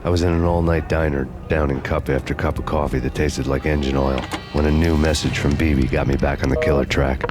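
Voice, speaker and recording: A bitter American noir detective with an extremely low, flat voice. He narrates a crime game, deadpan and haunted, with brooding weariness, over prominent music. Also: a little fast, hardly any pauses. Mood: bleak, brooding. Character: haunted, sleepless, bitter noir fugitive cop. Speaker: narrator and protagonist.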